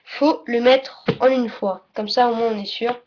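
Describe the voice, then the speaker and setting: High-voiced woman in a halting casual tutorial. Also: steady tone. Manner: halting, self-correcting, trailing off. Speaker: presenter of a card game tutorial. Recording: dull lo-fi audio, faint noise.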